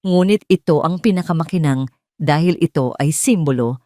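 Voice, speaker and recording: A woman's voice: deep voice, normal speed, mellow, dry recording, clean studio sound. A woman with a Filipino accent narrating a scripted, dramatic audio drama story.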